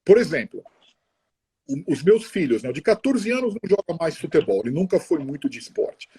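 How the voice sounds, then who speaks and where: Mellow husky middle-aged man chatting. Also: fairly high pitch, very animated. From Brazil. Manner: chatty, halting, many restarts and tag questions. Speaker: interview guest. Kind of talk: casual conversational interview.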